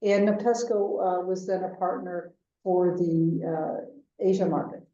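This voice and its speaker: American businesswoman guest, fifties, mellow tone, distant and dull line, measured with fillers. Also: fairly low pitch, steady tone. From United States. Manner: measured, with frequent ums and uhs. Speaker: venture capital executive, guest. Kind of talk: interview answers. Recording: distant, echoey, dull remote call audio.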